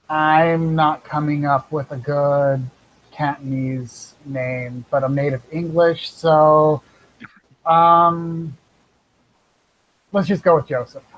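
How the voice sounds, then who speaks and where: A man joining an online tabletop game as a player, hesitant and soft-spoken with many pauses. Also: fairly high pitch, flat delivery, poor audio. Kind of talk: casual tabletop game play.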